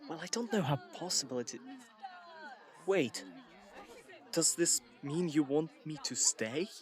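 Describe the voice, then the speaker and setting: A man's voice: fairly high pitch, expressive, a little slow, a faint crowd. A man playing a nervous, eager young cadet who chatters.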